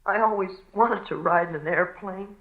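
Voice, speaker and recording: A man's voice: high voice, fairly quick, natural voice. An American man playing a stubborn, self-sacrificing young boy in 1940s radio drama style. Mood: wistful. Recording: tinny 1940 radio broadcast.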